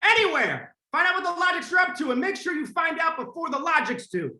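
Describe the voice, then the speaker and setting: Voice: very high-pitched, sing-song, a little fast, sharp tone, heavily acted. An actor playing a blustering company boss, a married man, in old-time radio drama style, in a roomy space. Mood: panicky, barking.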